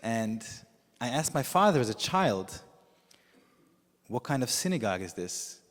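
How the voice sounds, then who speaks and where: A man whose first language is Yiddish, preaching as a rabbi in a measured storytelling way with frequent pauses. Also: mid-range voice, very animated. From United States. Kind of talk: sermon. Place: synagogue sanctuary with long reverb. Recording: reverberant hall.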